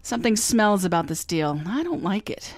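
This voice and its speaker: An actress playing a wry, confident private detective who narrates a radio drama, speaking briskly. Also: female voice, mid-range voice, big pitch swings, no room sound, studio quality. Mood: suspicious. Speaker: narrator and lead.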